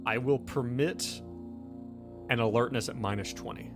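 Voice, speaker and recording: A man running a tabletop roleplaying game, improvising scene narration in a conversational, halting way with frequent rephrasing. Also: mid-range voice. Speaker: game master. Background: background music.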